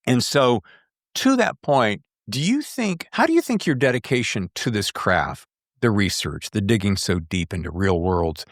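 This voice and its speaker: A man's voice: on the low side, no room sound, studio quality. An American man hosting a conversational book interview, effusive and rambling as he thinks aloud. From United States. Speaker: podcast host interviewing an author.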